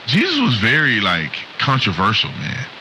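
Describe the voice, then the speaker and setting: A man's voice: on the low side, huge pitch swings, moderate pace, pushed and bright, poor audio. A man giving an emphatic, conversational religious talk through a radio speaker. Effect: played through a radio speaker. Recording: hissy radio playback.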